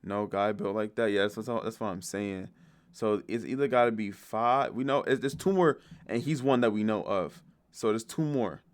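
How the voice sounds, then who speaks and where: A man's voice: fairly low pitch, moderate pace. A man giving casual, off-the-cuff reaction commentary as a video host, blunt and full of swearing and slang.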